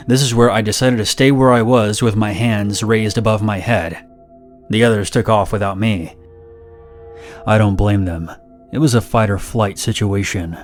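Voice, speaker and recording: A man reading first-person horror stories in a steady, measured, somber narration. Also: fairly low pitch.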